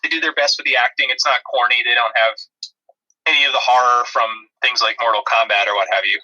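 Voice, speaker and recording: A man's voice: mid-range voice, fairly even intonation, fast talker, bright, forceful tone, low-quality recording. A man co-hosting a podcast, chatting casually about films with wry, dry-humoured asides. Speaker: podcast co-host. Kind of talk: casual movie review chat.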